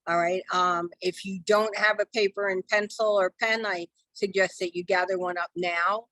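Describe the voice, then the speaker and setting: A middle-aged woman with a slightly hoarse voice, running a live online training class and talking in a chatty, reassuring way with many ums. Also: mid-range voice, fairly even intonation, normal speed, bright, forceful tone, no echo, low-quality recording. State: losing her voice. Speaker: real estate software trainer. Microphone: video call.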